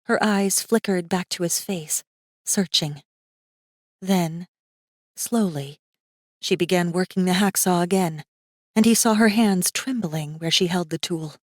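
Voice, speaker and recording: A woman narrating a fantasy audiobook in a measured, suspenseful manner with deliberate pauses. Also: lowish voice, no echo, studio quality. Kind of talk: scripted dramatic fiction narration.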